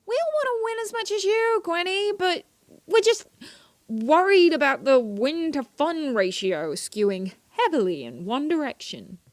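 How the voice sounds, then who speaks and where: A woman playing an earnest, bookish high-school student with precise, slightly hesitant speech in an audio drama. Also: expressive, a little slow, no echo. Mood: anxious. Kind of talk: scripted audio drama acting.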